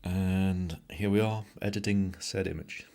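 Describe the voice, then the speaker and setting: Middle-aged man, British accent, very low voice, relaxed joking live-stream chat, home studio desk mic. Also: a little slow, creaky. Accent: British English. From Britain. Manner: chatty and joking, greets viewers by name. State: tired. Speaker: livestream host. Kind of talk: casual live-stream chat while editing photos.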